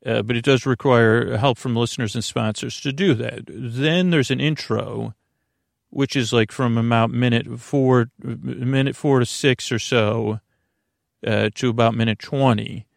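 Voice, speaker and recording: A man with a creaky voice hosting a sleep podcast in a meandering, mumbling, self-deprecating monologue full of stumbles and tangents. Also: mid-range voice, fairly even intonation, measured pace, dry recording, clean studio sound. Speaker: podcast host. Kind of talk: rambling, conversational bedtime sleep podcast monologue.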